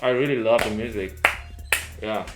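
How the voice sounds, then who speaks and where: A man's voice: fairly low pitch, moderate pace. A man co-hosting a casual review discussion, speaking enthusiastically with frequent "you know" asides. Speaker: review show co-host. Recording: roomy echo with music underneath.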